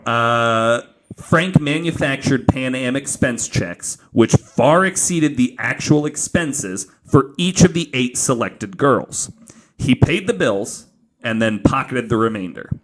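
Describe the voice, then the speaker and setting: A man's voice: medium pitch, expressive, slow, slight background noise, poor audio. A man hosting a podcast, telling a story in an informal, joking way with some swearing. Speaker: podcast host telling a true crime story. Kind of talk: casual storytelling from notes.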